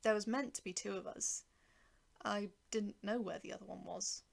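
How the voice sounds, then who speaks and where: A woman reads a first-person story aloud with a creaky edge to her mid-pitched voice. Her delivery is expressive and deliberate, setting phrases apart with dramatic pauses. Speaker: author narrating own short story.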